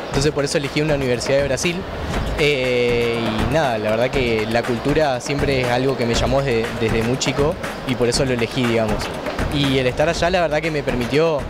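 A man's voice: medium pitch, steady tone, fairly quick. A young Argentine man in his twenties, a student guest talking informally in an interview on a handheld mic. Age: 20s. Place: large indoor fair hall. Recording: background music at busy fair.

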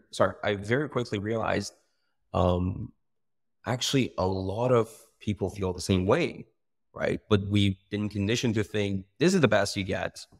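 Deep gravelly man. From Iran. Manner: animated, talks with his hands, many fillers and restarts. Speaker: startup founder guest. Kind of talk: casual interview. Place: bright room by a window. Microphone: video call.

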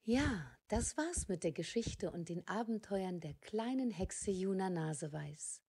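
Woman narrator reading a story, lowish and mellow, slow. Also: lively intonation, creaky, breathy edge.

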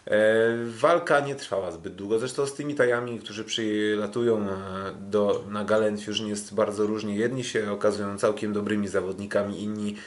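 A Polish man with a fairly low voice talks as a podcast co-host. He recaps fights casually, hesitating often, stretching his fillers and restarting phrases as he goes. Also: fairly even intonation, moderate pace, hardly any pauses. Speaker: podcast co-host, kickboxing commentator.

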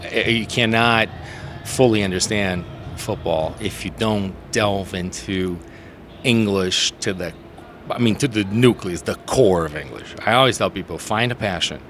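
Brazilian-born man, low voice, outdoors. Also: measured pace, a little hiss or hum. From southern Brazil. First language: Portuguese. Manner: animated, rambling storyteller with many restarts. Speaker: interpreter guest. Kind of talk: casual interview talk. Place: outdoor rooftop terrace, city traffic behind. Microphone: handheld mic held by interviewer.

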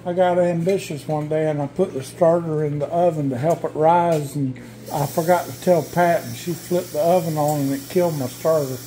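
A man's voice: fairly high pitch, fairly even intonation, moderate pace, hardly any pauses, mellow. A man, chatting in a friendly, complimentary way while filming a dinner at a friend's home. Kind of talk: casual chat. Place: home kitchen, indoors. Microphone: handheld camera held by him. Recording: noisy home camera audio.

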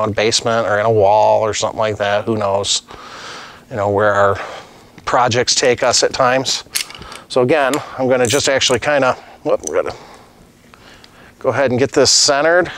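A man's voice: mid-range voice, a bit bright. A middle-aged master plumber giving a casual, practical tutorial on a lapel mic while he works with tools at a desk. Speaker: master plumber teaching on his channel. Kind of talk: hands-on tutorial demonstration. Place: indoor studio set at a desk.

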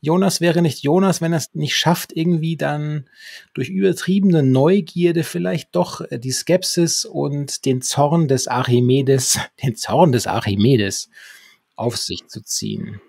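Man, podcast co-host, conversational retelling, husky, very dry close recording. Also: mid-range voice, darkish tone, no room sound. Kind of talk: conversational recap of a radio play. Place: small room at home. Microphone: close podcast microphone.

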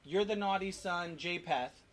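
A man's voice: high-pitched, unhurried, crowd noise. Middle-aged man, casual home vlog, directing, instructional, step-by-step explanations. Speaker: father leading a family activity and app demonstration. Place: family home.

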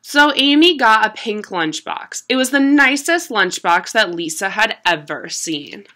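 A young adult woman reading a children's picture book aloud at home in an expressive, deliberate storytelling manner. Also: medium pitch. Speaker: storybook reader. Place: small room at home.

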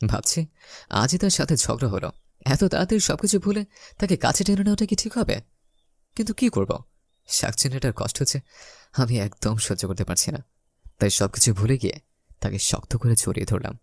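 A male storyteller reads a scripted romantic tale in the first person. His voice is medium in pitch with a dry, echo-free sound, and he acts out lines of dialogue. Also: expressive, fairly quick, long pauses. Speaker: story narrator.

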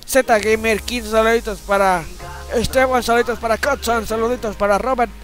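A man puts on a cranky old grandpa with a very high, strained voice, cursing and grumbling through a comedy gameplay video. He yells at the game and complains about how things were in his day. Faint game music sits behind him. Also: steady tone, normal speed. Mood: rattling off. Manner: grumbling, swearing, yelling at the game. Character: foul-mouthed cranky old grandfather gamer.

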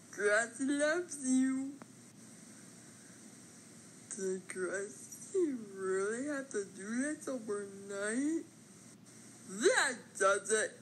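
A woman voicing a small, naive, childlike grass character for a cartoon, cutesy and squeaky with a husky edge. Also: fairly bright tone, a little hiss or hum, exaggerated voice, rough recording. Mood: determined. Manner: cutesy, refers to self in third person.

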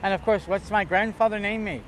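An older man with a slightly high voice spins chatty, theatrical stories. He talks outdoors on a pier into a close camera mic. Clear traffic and city noise run behind him. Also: brisk. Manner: chatty, theatrical, jokey with bursts of loud laughter. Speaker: interviewee. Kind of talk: casual storytelling.

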